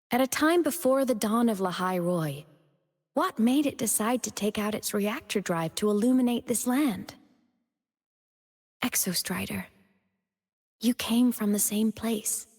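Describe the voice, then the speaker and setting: A young woman playing a gentle, playful female digital ghost in a video game, with a tender, earnest and affectionate manner. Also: on the high side, in a large hall. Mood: wistful, questioning. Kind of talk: video game character voice lines.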